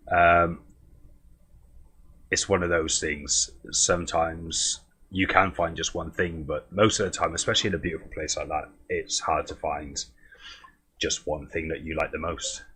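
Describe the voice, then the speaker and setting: Deep husky man, chatting. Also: bright, forceful tone. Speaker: podcast host. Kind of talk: casual interview chat.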